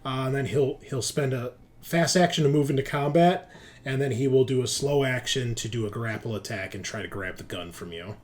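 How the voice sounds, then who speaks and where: Male game master, mid-pitched voice, loose colloquial tabletop narration. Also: fairly quick. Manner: casual, colloquial, swearing.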